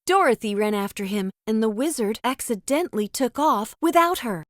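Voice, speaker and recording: A woman's voice: fairly high pitch, animated, moderate pace, dry recording, studio quality. A woman narrating a children's storybook as a scripted read-aloud.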